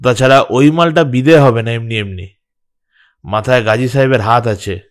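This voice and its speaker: A man's voice: mid-range voice, moderate pace, lightly acted. A man playing a deferential, conspiratorial underling in an audio drama, respectful as he plots. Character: deferential male underling plotting an attack.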